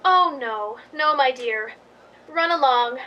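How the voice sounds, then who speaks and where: A woman's voice: sits high, slow pace, highs cut off, some chatter behind. A woman playing an imperious, affectedly gracious leading lady in a radio drama, theatrical and condescending.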